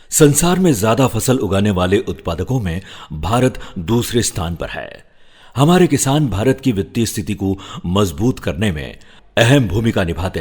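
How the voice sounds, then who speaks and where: Casting: middle-aged male voice artist, deep and raspy, dramatic deliberate narration. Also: darkish tone. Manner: theatrical, measured, deliberate delivery. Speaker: voice-over artist and actor. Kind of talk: dramatic scripted voice-over narration.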